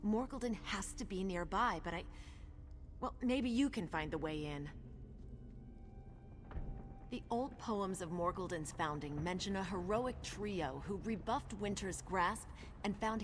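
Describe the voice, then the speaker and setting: A woman's voice: medium pitch, slight background noise, lightly acted. Woman as a determined orc woman in cavernous reverb. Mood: focused, musing. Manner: terse, dry, thinking aloud. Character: determined, impatient orc chief's daughter hunting ancestral relics. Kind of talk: video game character dialogue. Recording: long reverb, loud game music behind.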